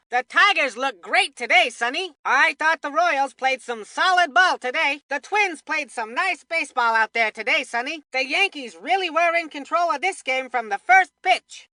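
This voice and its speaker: A cartoon baseball commentator with a very high, piercingly bright voice. The delivery is folksy and emphatic, full of excitable homespun colour. It is scripted video game sports commentary, recorded very dry and close. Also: no echo.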